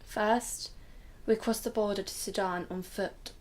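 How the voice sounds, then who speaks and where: Earnest protective grandma played by a woman, radio play storytelling, middling pitch. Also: fairly even intonation, measured pace, a little mellow, lightly acted. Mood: solemn, reflective. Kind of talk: radio drama acting.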